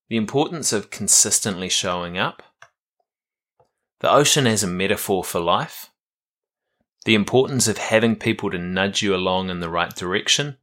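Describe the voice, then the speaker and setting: A man hosting a podcast at home, relaxed and upbeat, with plenty of fillers. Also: sits low. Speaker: podcast host. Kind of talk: casual podcast interview. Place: home, living room.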